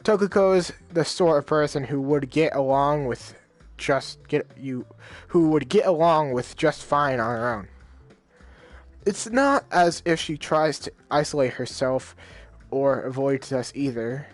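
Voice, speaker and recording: A man's voice: on the high side, measured pace. A man playing a visual novel, reading the dialogue aloud in his own voice and rambling in casual slang between lines. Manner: casual slang, many fillers. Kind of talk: let's play reading and commentary.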